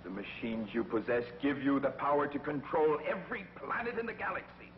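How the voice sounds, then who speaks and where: A cunning adviser who flatters his mistress and coaxes her toward bigger conquest, as a man plays him. His voice rings out with a long reverb tail. The sound is muffled like an old TV soundtrack. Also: on the high side, brisk, a little hiss or hum, lightly acted. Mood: persuasive. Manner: persuasive, flattering. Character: scheming male adviser to a villain. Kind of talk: 1950s TV drama acting.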